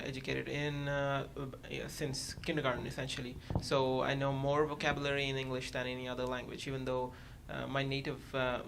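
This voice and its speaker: Panelist from India, first language Hindi; gravelly, halting, filler-heavy; faint low rumble behind. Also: male voice, fairly bright tone. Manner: hesitant, many uh fillers.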